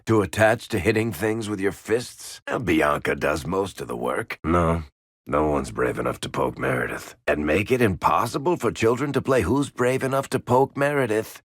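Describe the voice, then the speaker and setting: An actor playing a roguish dwarf storyteller in a video game, with a casual, sardonic manner and dry, teasing wit. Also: male voice, deep voice, no echo, full character voice. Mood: wry. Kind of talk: video game character dialogue.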